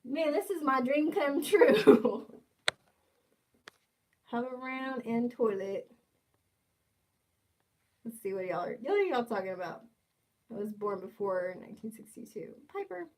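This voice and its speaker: A woman's voice: on the high side, animated, takes it slowly, long pauses, a little mellow. A woman in her twenties hosting a casual livestream, reading chat comments and joking playfully with viewers. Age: 20s. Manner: playful, teasing, jokey asides. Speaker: livestream host.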